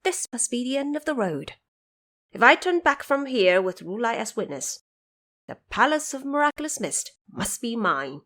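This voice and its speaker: Woman voicing a brash, high-pitched cocky monkey king. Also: moderate pace. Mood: cocky, scheming. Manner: boastful, excitable, challenging. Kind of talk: fantasy audio drama character acting.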